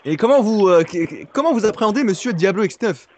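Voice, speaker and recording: An earnest breaking-news anchor, played by a man with a high-pitched voice. He reports as if live, insisting and repeating himself while stumbling over his words in a mock broadcast for a prank call. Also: animated, fast talker, slight background noise. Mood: mock serious. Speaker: prank caller.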